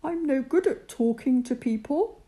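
A woman's voice: sits high, expressive, unhurried, warm tone, pitched above the performer's own voice. A woman voicing Moses as a timid, shy old shepherd, anxious and pleading.

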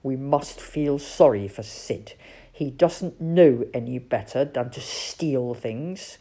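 A woman voicing a wise, kindly old male owl in a storybook, grave and gently admonishing. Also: very deep voice, slow pace, slightly rough, voice pushed down, full character voice.

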